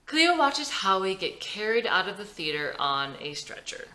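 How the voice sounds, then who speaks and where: Woman narrator, scripted screenplay reading, animated, forceful bright tone, roomy recording. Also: medium pitch, normal speed. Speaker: script reader and writer. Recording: roomy, echoey sound.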